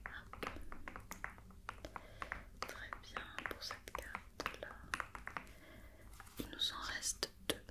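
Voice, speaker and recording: A woman whispering an ASMR roleplay as a doctor, giving soft, repetitive, reassuring instructions. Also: drawn-out words, long pauses.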